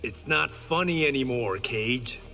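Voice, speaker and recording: A man's voice: medium pitch, lively intonation, unhurried, gravelly, sharp tone, heavily acted. An actor playing a stoic, wry swordsman in a fighting video game. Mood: dry, friendly. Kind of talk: video game dialogue, battle banter. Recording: hall reverb, music behind.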